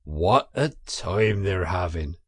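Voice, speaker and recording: Man voicing a low, commanding badger. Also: takes it slowly, a bit bright, slightly rough, dry recording, clean studio sound, heavily acted. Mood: firm. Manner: placid, commanding. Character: gruff, steady, commanding older male badger leader. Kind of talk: audiobook character voice.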